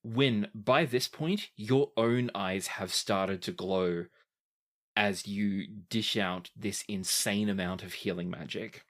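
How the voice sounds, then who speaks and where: A man running a tabletop game as game master, describing scenes in a deliberate, dramatic way. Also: fairly low pitch, fairly bright tone.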